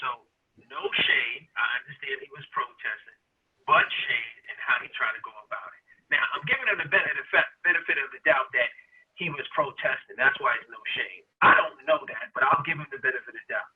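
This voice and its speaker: Male legal co-host, husky, slangy, tinny call audio. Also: mid-range voice, animated, a little fast. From New York. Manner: street slang, acts out imagined judges. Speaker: lawyer co-host. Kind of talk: animated legal talk show commentary. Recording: phone-like remote audio.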